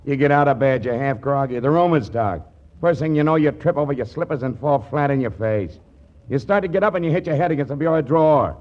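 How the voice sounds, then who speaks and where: A man's voice: mid-range voice, fast, lightly acted. An actor playing a grumbling everyman in a 1940s radio advertising sketch. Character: grumbling male everyman griping about morning annoyances. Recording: dull 1940s radio recording.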